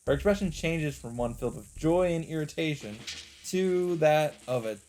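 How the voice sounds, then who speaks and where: A man's voice: a little high, animated, normal speed, darkish tone, a little hiss or hum. A man hosting a let's play, reading visual novel narration dramatically and adding his own casual asides. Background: background music.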